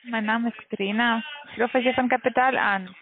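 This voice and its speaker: A woman's voice: on the high side, steady tone, moderate pace, crowd noise. A woman with a foreign accent, not a native German speaker, making a telephone sales call from a call center. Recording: tinny narrow phone line.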